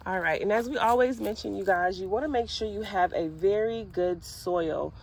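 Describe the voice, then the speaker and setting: A woman's voice: medium pitch, normal speed, rough recording. A woman with a stuffy cold voice giving casual how-to tips in a gardening vlog, chatty and friendly with little laughs and fillers. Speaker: gardening vlogger.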